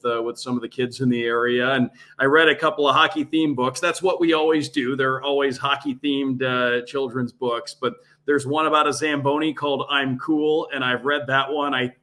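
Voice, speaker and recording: A man's voice: medium pitch, sharp tone. A man who calls hockey games on radio, answering interview questions in a fluent, friendly way. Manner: fluent, friendly, lightly filler-laced. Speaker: radio play-by-play announcer, guest.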